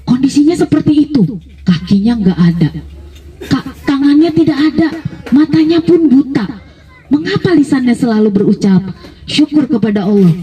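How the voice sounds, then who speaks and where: A woman's voice: fairly high pitch, warm tone, distant mic, rough recording. A woman voicing a curious young male traveller in a live children's story, asking questions politely and hesitantly. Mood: puzzled.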